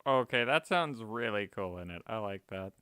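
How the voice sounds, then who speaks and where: A man's voice: lowish voice, expressive, normal speed, bright, forceful tone, clean tone, no echo, studio quality. A man streaming a game and chatting casually, rambling and correcting himself with small laughs. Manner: rambling, self-correcting, chuckling. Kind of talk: casual chat while gaming.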